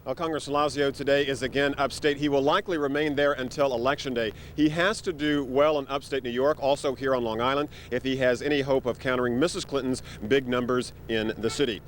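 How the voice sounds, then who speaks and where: A man delivers a live broadcast political report in a mid-range voice. Faint traffic rumble sits behind him, as from an outdoor location. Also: steady tone, brisk, hardly any pauses.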